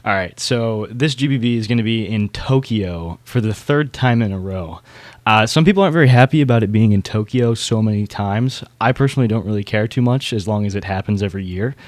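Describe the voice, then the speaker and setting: A man's voice: fairly low pitch, fairly quick, dry recording. A man hosting a podcast in a casual, chatty style. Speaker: podcast host.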